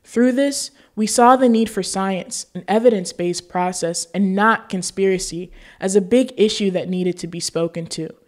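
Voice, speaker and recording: A woman hosting a show, reading scripted narration and appeals to viewers in an earnest, deliberate way. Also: medium pitch, darkish tone. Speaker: show host.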